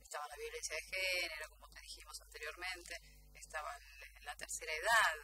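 A woman's voice: lowish voice, a little fast. A woman with a Rioplatense Argentine accent, talking conversationally as a guest in a radio interview. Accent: Rioplatense Argentine Spanish. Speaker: guest, radio drama actress and announcer. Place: small radio studio. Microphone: studio table microphone. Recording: noisy with audible hum.

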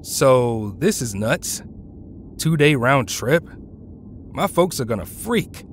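A man voices a loyal teenage hero with a medium-pitched voice. He sounds casual and slangy, supporting a friend in audio drama style, over faint background ambience. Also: sing-song, slow pace, slight background noise. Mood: casual, concerned.

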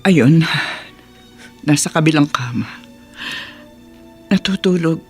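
A woman's voice: low-pitched, takes it slowly, dark tone, husky, soft background music, full character voice. An actress playing a concerned middle-aged mother in a Filipino radio drama.

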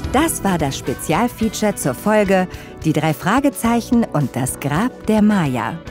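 A woman's voice: medium pitch, very animated, unhurried, music in the background. A woman reading scripted promotional narration as the presenter of a feature.

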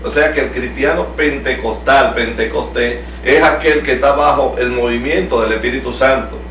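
A man's voice: mid-range voice, normal speed, a bit bright. A middle-aged man from El Salvador with a Salvadoran accent, a pastor leading a home Bible study and asking the group questions, recorded from across a living room. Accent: Salvadoran Spanish. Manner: teaching, asks the group questions. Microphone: far camera. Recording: echoey room from far off, faint hum.

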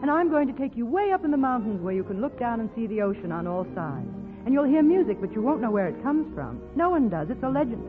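A woman's voice: fairly high pitch, big pitch swings, fast, few pauses, long reverb tail. An actress playing a brave, romantic young woman with a secret doom, in 1940s radio drama style. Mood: dreamy. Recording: old phone-like radio recording with music.

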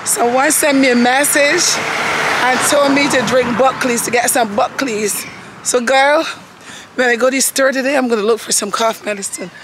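A middle-aged woman with a hoarse voice, chatting straight to her phone camera on a travel vlog. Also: high voice, steady tone, moderate pace. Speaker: vlogger. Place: outdoors on a city street and in a park. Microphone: phone held at arm's length. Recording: street and traffic noise behind.

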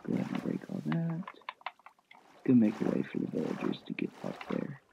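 A man's voice: medium pitch, huge pitch swings, a little fast, creaky. A man giving casual commentary on his own gameplay, thinking aloud in short fragments. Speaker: gamer narrating own gameplay. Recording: thin phone-like mic, noisy, clicking.

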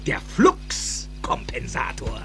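A man's voice: slow pace, pushed and bright, soft background music. An actor playing an eccentric older male scientist, theatrical and emphatic, explaining things with pedantic precision in a German film dub. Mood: dreamy reminiscing. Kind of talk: German dubbed film acting.

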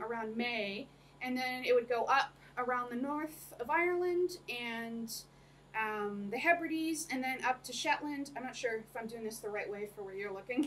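Young woman giving a talk in a small room, high voice, lots of ums, mic far off with a bit of hiss. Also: a bit bright. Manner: chatty, many ums, laughs often. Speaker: lecturer. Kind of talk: academic talk to an audience. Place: small room with projector screen. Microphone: camera across the room. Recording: distant room mic, faint noise.

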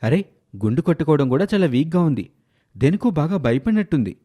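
A man playing a brisk, reproving village doctor in an animated moral story. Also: on the high side. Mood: concerned, reproving.

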